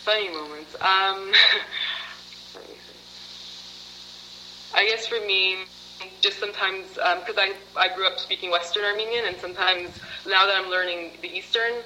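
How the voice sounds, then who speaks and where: A woman's voice: mid-range voice, steady tone, unhurried, long pauses, sharp tone, a little hiss or hum, poor audio. A woman as a program participant, answering interview questions in a chatty way, with many fillers and laughs.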